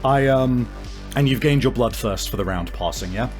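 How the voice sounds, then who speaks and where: A man's voice: medium pitch, normal speed, darkish tone, faint music behind. A man acting as game master, casually working through rules and improvising scene narration, piecing his thoughts together aloud in a hesitant way.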